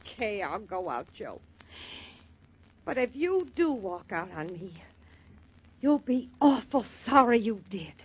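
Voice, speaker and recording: An actress with an American accent playing an insistent, hard-working jilted fiancée in a 1940s radio melodrama. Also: female voice, medium pitch, big pitch swings, slow, pauses often, breathy edge, heavily acted. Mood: hurt, demanding. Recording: muffled old radio recording with hiss.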